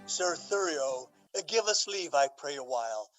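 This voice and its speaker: A man playing an older Duke in a Shakespearean radio drama, halting and stately. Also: on the high side, lively intonation, fairly bright tone, soft background music, poor audio. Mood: guarded. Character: hesitant, courtly older male Duke.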